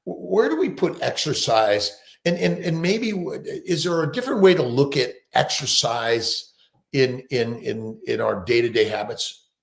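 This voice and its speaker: A man hosting a live-stream interview, asking questions haltingly and repeating words as he starts, on a video call mic. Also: medium pitch, lively intonation, gravelly, roomy sound. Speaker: show host.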